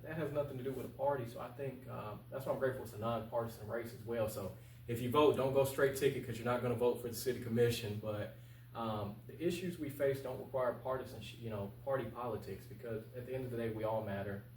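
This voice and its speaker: Man, brisk talker, earnest, plain-spoken, informal interview guest, echoey room, faint noise. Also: medium pitch, darkish tone, far from the mic. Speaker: guest, local political candidate.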